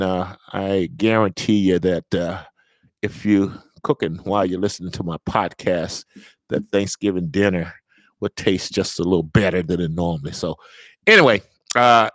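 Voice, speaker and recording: A man hosting a casual podcast interview, speaking haltingly with many fillers. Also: low voice, a bit bright, dry recording. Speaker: podcast host.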